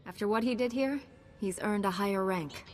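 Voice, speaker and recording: A woman's voice: mid-range voice, normal speed, natural voice. A woman voicing a young, self-assured female Jedi knight in a video game, earnest and plainspoken. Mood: confident. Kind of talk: video game cutscene voice acting. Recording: loud music behind.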